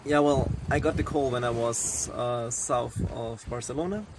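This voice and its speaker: Gravelly young Austrian man on deck. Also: medium pitch, moderate pace, noisy background. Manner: thoughtful, hesitant, many fillers and restarts. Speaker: crew member on a sailing yacht. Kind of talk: casual interview chat. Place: on deck of a yacht at sea, outdoors.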